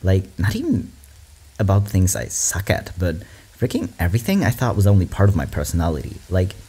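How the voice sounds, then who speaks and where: Guy, lively swoopy voice, reacting to memes, rambly and chill. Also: deep voice, fairly quick, husky. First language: German. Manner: rambling, animated, laughs often, swears. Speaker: video creator reacting to memes. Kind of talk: casual meme reaction chat.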